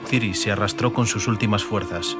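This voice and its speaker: A man's voice: lowish voice, fairly quick. A man with an accent from Spain, narrating a fantasy audiobook in a dramatic scripted style. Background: music.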